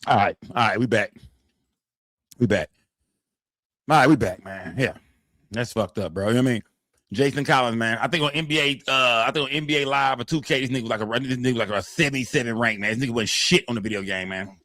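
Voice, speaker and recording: A man in his forties talks quickly on a live stream, with a loose, profane, repetitive flow. His pitch sits in the middle of the male range. The voice is close and dry, with almost no room sound. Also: a bit bright, no echo. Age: 40s. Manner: loose, profane, repeats phrases with tag words like "man" and "fam". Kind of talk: casual live-stream chat, reading the chat aloud.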